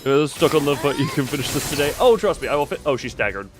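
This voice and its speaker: A man's voice: a little high, sing-song, quick pace. A man giving casual live game commentary, thinking aloud in mutters and sudden exclamations as he talks to himself and his chat. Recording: music playing behind the voice. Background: game music.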